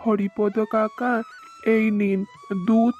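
A woman's voice: a little high, fairly even intonation, slow. A woman voicing a poor, devout village farmer and father in a cartoon, earnest and pious. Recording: dull lo-fi sound with faint music.

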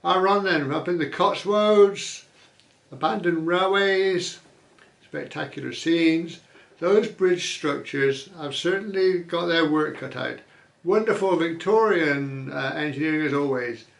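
A man hosting a vlog, reading viewer comments aloud and musing on them in an easygoing way, often pausing with "uh". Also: a little high, creaky, reverberant. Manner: chatty, musing aloud, many "uh" fillers. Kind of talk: casual chat.

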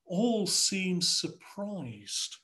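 Slow, measured English preacher on a video call. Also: male voice, sits high, sing-song, bright, forceful tone. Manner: measured, rhetorical preaching with repeated phrases. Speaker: pastor. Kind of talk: sermon. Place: home study with bookshelves. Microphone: video call webcam.

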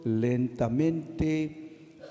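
A middle-aged man with a Filipino accent preaching a Lenten sermon from the pulpit, speaking slowly and deliberately with pauses. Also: medium pitch, dark tone, soft background music. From Philippines. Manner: slow, deliberate, careful delivery with pauses. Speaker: priest giving a Lenten mission talk. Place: large church, at the pulpit. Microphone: pulpit microphone. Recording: long reverb of a large church.

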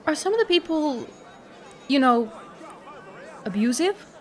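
A woman playing a gentle, modest elven market trader in an audio drama. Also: high-pitched, sing-song, measured pace, a faint crowd. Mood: guarded, troubled. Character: gentle young elven woman trader, friendly but guarded.

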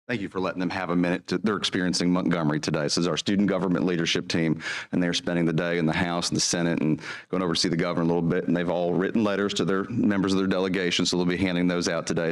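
A university staff member, a man, giving quick, grateful remarks to a legislative committee. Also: deep voice. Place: large legislative committee room.

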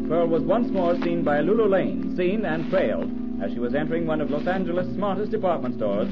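A man acting as the solemn, measured narrator of a 1930s radio crime drama. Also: a little high, close to an everyday voice. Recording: dull 1930s radio recording with music.

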